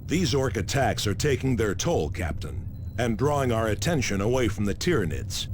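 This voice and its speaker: An actor playing a cautious, serious veteran sergeant in a video game, sober and formal. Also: male voice, medium pitch, sing-song, normal speed, background noise, lightly acted. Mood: concerned. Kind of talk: video game character acting.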